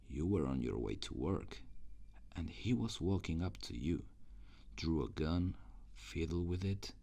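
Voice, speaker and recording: Man voicing a very deep, gravelly, sardonic demon. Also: darkish tone, exaggerated voice. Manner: slow, dry, intimate, sardonic. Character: reluctant male demon guardian, jaded, sardonic, gleefully cruel. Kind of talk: ASMR roleplay.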